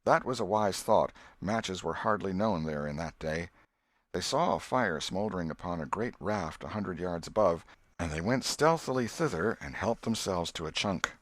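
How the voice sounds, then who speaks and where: Low voiced guy reading an old novel, expressive storyteller, really dry recording. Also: normal speed, no room sound. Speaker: audiobook reader. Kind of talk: scripted narration of a classic novel.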